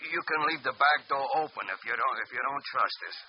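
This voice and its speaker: An actor playing a polite, hesitant sidekick crook who stammers, in 1940s radio drama. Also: male voice, mid-range voice, fairly quick, close to an everyday voice. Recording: dull old radio recording with clear hiss.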